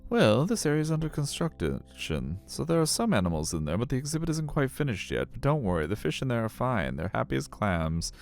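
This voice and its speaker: Man voicing a reassuring, higher-pitched aquarium worker. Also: huge pitch swings, brisk, gravelly, hardly any pauses, faint music behind. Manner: reassuring, explanatory. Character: friendly, reassuring aquarium worker in a visual novel.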